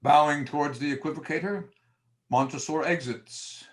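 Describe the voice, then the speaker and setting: A man's voice: a little high, normal speed, a little hiss or hum. A man narrating a gothic horror drama from a script in a theatrical, storytelling style.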